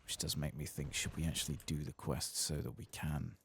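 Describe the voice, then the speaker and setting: A man giving soft-spoken, partly whispered ASMR commentary on a game, murmuring and musing to himself close to the microphone. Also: very deep voice, steady tone, brisk, creaky, breathy edge. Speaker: gaming channel host.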